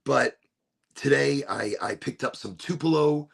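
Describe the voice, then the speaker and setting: A man hosting a casual livestream, hoarse from losing his voice, talking in an upbeat, rambling, repetitive way. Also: mid-range voice, unhurried. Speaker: livestream host.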